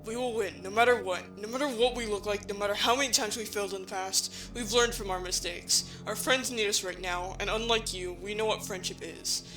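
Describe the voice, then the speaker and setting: A woman's voice: medium pitch, a little fast, hardly any pauses, a bit bright, soft background music, close to an everyday voice. A woman playing a brave, protective young hero in an audio drama, speaking in an earnest, firm way. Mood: defiant.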